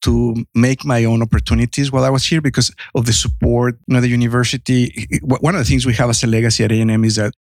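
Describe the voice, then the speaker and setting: A man in his fifties from Mexico with a heavy Mexican accent, a native Spanish speaker telling his story as an interview guest in a halting, self-effacing way. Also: mid-range voice, little pitch movement, a little mellow, no echo, clean studio sound. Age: 50s.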